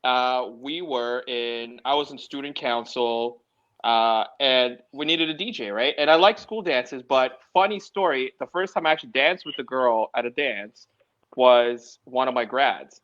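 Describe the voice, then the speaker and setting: A man whose first language is Russian, a guest DJ telling stories in a relaxed, casual interview over a home video call. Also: mid-range voice, a little slow, dull audio. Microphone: home video call mic.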